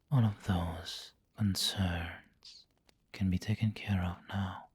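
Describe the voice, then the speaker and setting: A man playing a refined, doting vampire lord in an ASMR roleplay, whispering gently and intimately with seductive, protective care. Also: low-pitched, full character voice. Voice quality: breathy, whispery. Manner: slow, gentle, intimate coaxing.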